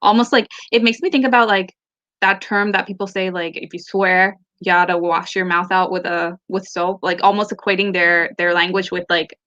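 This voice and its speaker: A woman whose first language is Tamil talks through a book club discussion over a video call, picking her way through her thoughts with fillers and restarts. Her voice is bright and a little tense. The call audio is dull and lo-fi. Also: on the low side, level tone, moderate pace. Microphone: laptop or phone mic on a video call.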